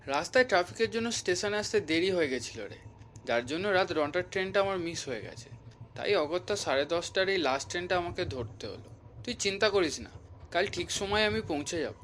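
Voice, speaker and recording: A man's voice: high-pitched, lively intonation, a little fast, fairly bright tone, a little hiss or hum. An actor playing a polite, curious young man travelling in a horror cartoon, with a courteous conversational delivery. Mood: casual, reassuring. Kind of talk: dramatised audio horror story for cartoon.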